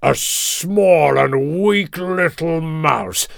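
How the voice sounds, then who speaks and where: A man voicing a big, proud, scornful lion king with a booming voice and menacing, haughty delivery in a children's storybook. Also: drawn-out words, creaky, no echo, studio quality, exaggerated voice. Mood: mocking. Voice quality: roaring, booming.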